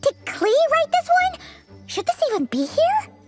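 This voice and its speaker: A woman voicing a tiny, childlike, excitable fairy companion in a video game, chirpy and squeaky with exaggerated delivery. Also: a little slow, faint music behind, exaggerated voice. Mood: surprised, curious. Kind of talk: scripted video game dialogue.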